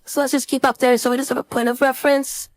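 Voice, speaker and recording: A woman instructor giving a casual screen-share software tutorial, thinking aloud informally as she demonstrates. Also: high voice, little pitch movement, fast. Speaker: design instructor.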